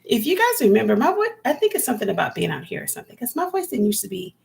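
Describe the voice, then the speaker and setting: A woman with a raspy voice chatting casually on a live stream as a homesteading vlogger, rambling and talking to viewers by name. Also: on the high side, huge pitch swings, very fast, darkish tone. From California.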